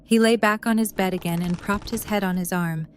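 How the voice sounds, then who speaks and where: A woman reads scripted story narration in a medium-pitched voice. She works as the narrator of an audio drama, with faint noise in the background. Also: fairly even intonation, a little fast.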